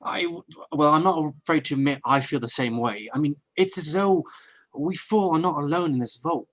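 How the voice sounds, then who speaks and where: An actress playing an anxious woman, nervous and halting. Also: low voice. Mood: uneasy. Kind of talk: old time radio drama acting.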